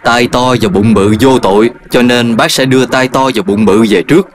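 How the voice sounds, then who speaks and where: A man voicing a stern, pompous authority figure in an animated cartoon, with an emphatic, lecturing delivery. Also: mid-range voice, normal speed, a little hiss or hum, lightly acted. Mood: stern scolding. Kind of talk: cartoon voice acting.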